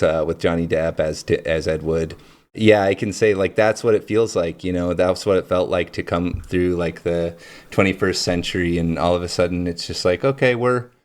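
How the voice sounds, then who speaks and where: A man with a low voice hosting his own channel, talking through ideas in a rambling, reflective monologue full of fillers and frequent laughter. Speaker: channel host.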